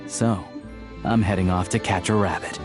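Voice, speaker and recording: A man's voice: sits low, fairly even intonation, normal speed, music in the background, lightly acted. A man voicing an easygoing, friendly young hunter in a video game, with a casual, wry manner. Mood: intent.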